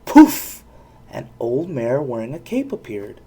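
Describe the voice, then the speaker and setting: A parent reading a children's storybook aloud with expressive narration. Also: medium pitch, slow, slightly rough.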